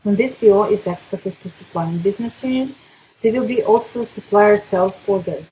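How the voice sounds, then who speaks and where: Female software trainer, mid-range voice, methodical step-by-step explanatory delivery for a webinar demo. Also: medium pitch, normal speed, highs cut off, a little hiss or hum.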